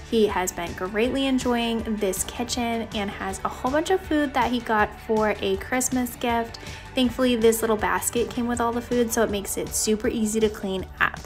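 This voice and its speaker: Young woman, higher voice, casual vlog narration, chatty, speaking to viewers, music behind. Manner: chatty, addressing viewers directly. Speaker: home cleaning vlogger. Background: background music.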